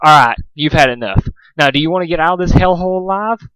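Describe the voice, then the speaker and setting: A man's voice: fairly high pitch, expressive, quick pace, a bit bright, clean tone, no room sound, pitched above the performer's own voice, rough recording. A man voicing an enraged, sadistic female cartoon pony who barks and snaps threats. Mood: menacing, scornful. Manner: barking, snapping, threatening.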